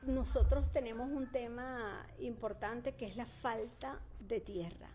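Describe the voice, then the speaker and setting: A woman's voice: on the high side, steady tone, unhurried, warm tone, slight background noise. A woman working as a real estate consultant, answering interview questions fluently with frequent fillers and restarts. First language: Spanish. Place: Florida. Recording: dull lo-fi sound.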